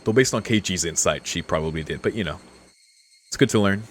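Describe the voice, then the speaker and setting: Low-voiced man playing and narrating a game. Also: a little fast, slight background noise. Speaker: streamer playing a visual novel. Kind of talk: casual let's-play commentary and reading aloud.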